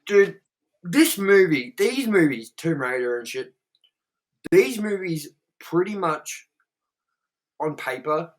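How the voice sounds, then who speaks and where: A man's voice: a little high, sing-song, takes it slowly, pauses often. A man co-hosting a podcast, talking casually and at length, rambling with lots of fillers and swearing. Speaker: podcast co-host. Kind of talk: casual chat.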